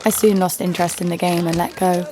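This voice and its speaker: An actress playing a ruthless, sardonic assassin in a narrated audio drama, deadpan and menacing. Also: female voice, lowish voice, quick pace, heavy background noise, close to an everyday voice. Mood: deadpan storytelling. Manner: menacing, deadpan, foul-mouthed. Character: ruthless, sardonic woman assassin, destroyer of cities. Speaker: lead actor and narrator. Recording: music behind the voice.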